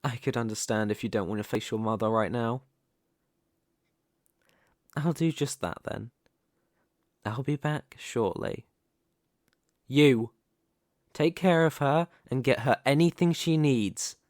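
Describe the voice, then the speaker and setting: A commanding mafia boss, acted by a man for an ASMR roleplay, speaking slowly and deliberately in a mid-pitched voice. He is possessive and persuasive, with measured menace, and the recording is close and dry. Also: darkish tone, no room sound, lightly acted. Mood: tender, protective. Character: commanding, possessive young male mafia boss, devoted lover.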